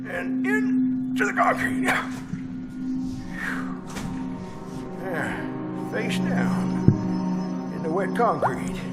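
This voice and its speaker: A man's voice: very high voice, exaggerated voice. A man playing a fussily polite, murderous householder in an old-time radio horror play, stammering and repeating himself with oily, chuckling politeness. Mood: gloating, murderous. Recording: live performance with loud music behind.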